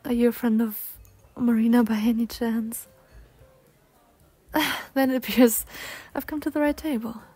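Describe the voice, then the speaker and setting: A woman's voice: a little high, steady tone, unhurried, warm tone, husky, no echo, faint music behind. A young woman voicing a polite, bookish, insecure cougar-girl on a date in an ASMR roleplay, speaking formally with flustered hesitations. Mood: polite, nervous.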